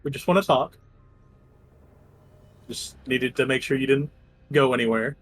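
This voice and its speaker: A man talks casually as a player in a tabletop roleplaying game. His voice is husky and mid-pitched, and the recording is very dry. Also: unhurried, fairly bright tone, dry recording.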